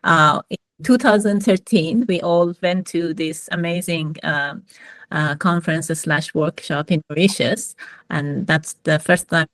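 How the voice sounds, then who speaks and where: A woman's voice: deep voice, unhurried, dry recording. A woman from Iran, a physicist, speaking hesitantly with many fillers on a video call. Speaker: physicist and mentoring programme founder. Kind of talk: online panel talk.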